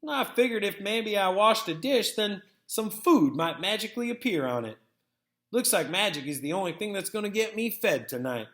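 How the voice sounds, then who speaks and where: A man's voice: high-pitched, expressive, moderate pace, fairly bright tone, roomy sound, pitched above the performer's own voice. A man voicing a sullen, stubborn, sarcastic country boy, complaining, in a storybook reading.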